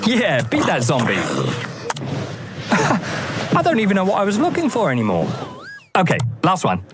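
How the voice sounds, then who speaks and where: A man narrating a video in a playful scripted way, musing slowly with exaggerated swings in tone. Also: high-pitched, huge pitch swings. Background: loud music.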